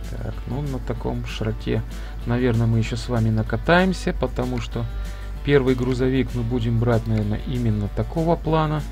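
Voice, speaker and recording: Hoarse man chatting over a driving game. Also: mid-range voice, fairly quick, darkish tone, soft background music. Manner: chatty, thinking aloud while driving. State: hoarse, off voice today. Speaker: gameplay video creator. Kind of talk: casual let's-play commentary.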